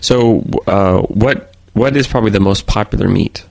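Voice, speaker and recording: A man hosting an interview, asking slow, clear questions and repeating the answers back to check them. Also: fairly low pitch, a little mellow, dry recording. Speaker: host interviewer.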